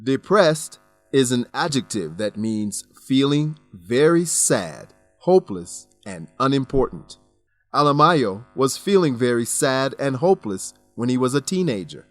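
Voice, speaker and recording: A man narrating a scripted English vocabulary lesson as the teacher, with deliberate instructional phrasing. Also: medium pitch, sing-song, no echo. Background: music.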